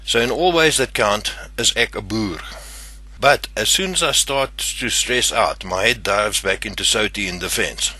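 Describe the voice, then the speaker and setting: A man's voice: lowish voice, a little slow, bright, forceful tone, breathy edge. An older man from South Africa with a South African English accent, hosting his own channel in a scripted, earnest and somewhat stiff delivery. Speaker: channel host. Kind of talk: scripted talk to camera.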